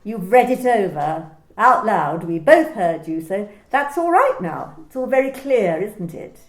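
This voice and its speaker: An actress playing a calm, insinuating female office manager in an amateur radio play. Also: medium pitch, unhurried. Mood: cool probing. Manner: calm, insinuating, repeats questions. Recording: roomy home recording.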